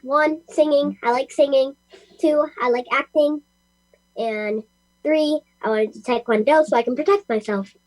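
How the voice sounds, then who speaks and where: A young girl with a high voice chats casually. She is a child actress talking within a group. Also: moderate pace, low-quality recording. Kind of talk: casual chat.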